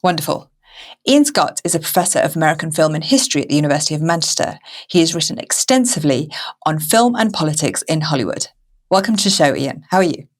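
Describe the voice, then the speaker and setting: A woman's voice: sits low, animated, fast talker. A woman hosting a podcast interview in a conversational style. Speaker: podcast host.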